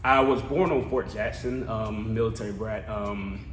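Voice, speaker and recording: A young man from Columbia, South Carolina, a council candidate, answering interview questions in an earnest, measured way with many pauses. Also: on the low side, fairly even intonation, echoey room, faint music behind, rough recording.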